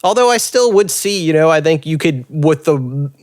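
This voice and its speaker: A man's voice: on the high side, animated, moderate pace, a little mellow, no room sound, clean studio sound. A man co-hosting a podcast, talking casually with friends about films and thinking aloud as he goes. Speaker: podcast co-host. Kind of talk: casual film discussion among friends.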